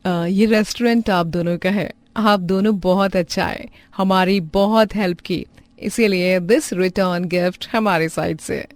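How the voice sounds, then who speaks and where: A woman voicing a young tourist in a cartoon story, polite and excited, halting in mixed with broken English. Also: lowish voice, no room sound. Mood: warm, eager. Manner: halting, mixing simple broken English into Hindi.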